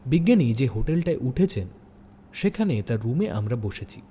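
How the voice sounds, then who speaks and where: An actor playing a detective's observant young sidekick, narrating an audio story in a reflective, expressive way. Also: male voice, mid-range voice, moderate pace, slight background noise, close to an everyday voice.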